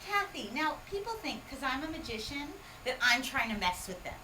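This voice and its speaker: A woman's voice: high voice, a little fast. A woman from Hawaii performing magic, teasing and quizzing a small parlour audience with comic patter. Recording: distant mic with steady background noise.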